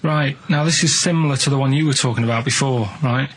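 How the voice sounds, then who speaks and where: A man chats casually as a radio co-host. He rambles through odd stories in a matter-of-fact way at a middling pitch, with some noise on the recording. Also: fast talker, poor audio. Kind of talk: casual chat, telling odd anecdotes.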